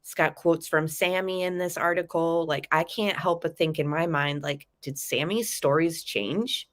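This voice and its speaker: A woman with a low voice, stuffed up and nasal from a lingering cold. She talks in a loose, chatty way, calling her viewers guys. She walks them through a true crime case. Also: measured pace. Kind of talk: casual live chat commentary.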